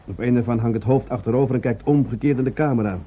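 Actor as an earnest police adjutant, dull recording. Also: male voice, medium pitch, slightly rough, close to an everyday voice. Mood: uneasy, doubting. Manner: deliberate, hesitant, deferential to his superior. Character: earnest, doubting male Dutch police adjutant. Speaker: radio drama actor. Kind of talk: Dutch radio drama dialogue. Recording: dull radio recording, faint noise.

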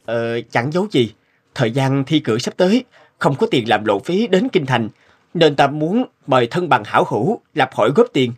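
A man playing an earnest, hopeful, expressive young scholar in an animated moral tale. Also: medium pitch, normal speed, slightly rough. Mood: rueful. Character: earnest, hopeful young male scholar seeking exam money.

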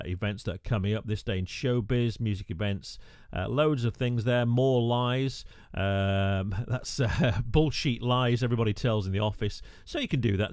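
Radio presenter, male, mid-pitched, casual tutorial talk, dry close mic. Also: no echo. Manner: chatty, wry asides, frequent "uh" fillers. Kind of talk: screen-recorded tutorial narration.

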